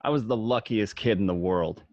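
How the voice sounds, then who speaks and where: A man plays a streetwise gangster narrating his own boyhood with swagger. It is amateur radio drama in a mid-pitched voice, recorded close and dry with a slightly dull lo-fi sound. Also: moderate pace, a little mellow, dry recording, lightly acted. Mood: proud, nostalgic. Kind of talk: first-person narration in an amateur radio play.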